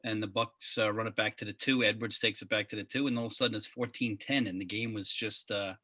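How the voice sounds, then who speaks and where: A man with a Long Island accent hosting a casual sports podcast, friendly and quick to laugh. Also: on the low side, dry recording. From Long Island, New York. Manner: relaxed, friendly, laughs often. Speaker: podcast host.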